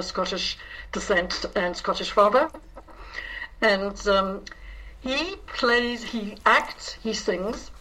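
Bright-voiced woman director. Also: mid-range voice, rough recording. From South Africa. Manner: thoughtful, with pauses and restarts. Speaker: theatre director and scriptwriter. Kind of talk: interview about a stage show.

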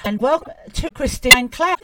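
Woman, interview host, chatty, lively pitch, distant mic, steady hiss. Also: moderate pace. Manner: chatty, welcoming, asks guests to talk. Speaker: radio show host. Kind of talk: casual interview. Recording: distant mic with clear noise.

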